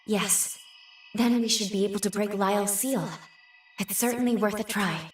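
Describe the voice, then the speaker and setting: A woman voicing a thoughtful, analytical researcher who reasons aloud in a measured way in a game cutscene. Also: mid-range voice, natural voice. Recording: roomy, slightly distant, light music.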